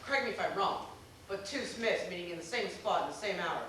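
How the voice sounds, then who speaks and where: A wry, unflappable gentleman played by a man teases with glib, theatrical formality. He talks quickly in a high voice on a theater stage, far from the mic in a very echoey space. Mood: insistent, polite. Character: unflappable, teasing, worldly know-it-all gentleman, drily amused. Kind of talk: amateur stage acting in a comic one-act play. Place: small theater stage, recorded from the audience. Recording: echoey theater, distant mic, noticeable noise.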